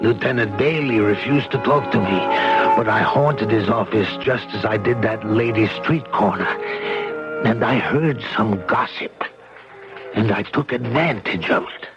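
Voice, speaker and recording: A middle-aged man in a 1970s radio drama, playing a grieving father who narrates his plan for revenge in a slow, intense way. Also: fairly low pitch. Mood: brooding. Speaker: lead and narrator. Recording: dull old radio broadcast with music.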